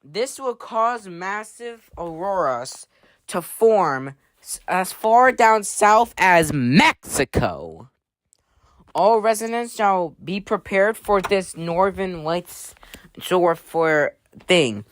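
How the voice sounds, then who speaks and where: A woman's voice: lowish voice, expressive, slow pace, dry recording. A woman playing an emergency alert announcer, reading a scripted official warning in a formal, slightly clumsy way. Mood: official, urgent.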